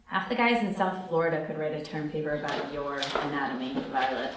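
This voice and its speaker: A woman's voice: deep voice, steady tone, a little fast, natural voice. A woman acting a stressed, principled young medical student who moonlights as a thief, at a screenplay table read. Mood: dry, busy. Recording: very echoey room, distant, noisy mic.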